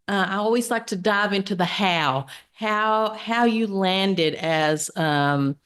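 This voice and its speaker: A woman's voice: medium pitch, slow pace, fairly bright tone, clear, resonant tone. A woman hosting a podcast, welcoming a guest and asking questions in a casual, earnest way with frequent fillers and restarts. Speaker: podcast host. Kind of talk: casual interview.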